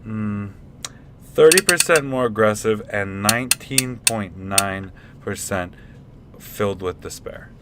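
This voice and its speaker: An actor playing a bumbling, proud scientist with halting, stammering delivery in a comedic radio play. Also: male voice, fairly low pitch, heavy background noise. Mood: earnest. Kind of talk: comedic radio theatre acting.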